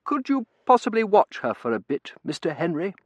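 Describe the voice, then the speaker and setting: A man's voice: high voice, sing-song, fairly quick, no echo, voice pushed up. A man voicing a solemn, courteous English manservant in an audiobook, formal and deferential. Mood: grave. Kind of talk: audiobook character acting.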